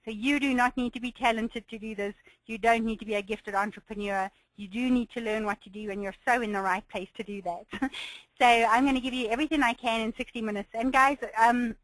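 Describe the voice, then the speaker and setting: A woman presenting a business webinar as a coach, slightly hoarse from a cold, with an enthusiastic, encouraging manner and frequent light laughs. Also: on the high side, steady tone, fairly quick, fairly bright tone, no echo, low-quality recording.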